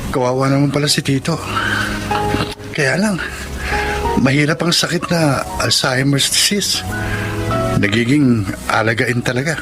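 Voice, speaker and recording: A man's voice: mid-range voice, unhurried, long pauses, loud music behind the voice, natural voice. An actor playing a concerned, sympathetic young man in a Filipino melodrama, earnest and explanatory, with a Filipino accent. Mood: sympathetic, shocked.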